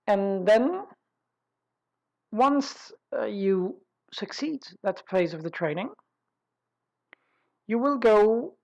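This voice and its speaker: Female webinar presenter, medium-pitched voice, informal and explanatory, deliberate with pauses and rephrasing. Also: a little mellow, poor audio.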